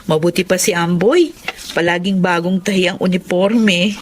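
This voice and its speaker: A woman's voice: fairly low pitch, steady tone, moderate pace, a little hiss or hum, lightly acted. A woman playing a friendly, chatty acquaintance in a Filipino radio drama, polite and conversational.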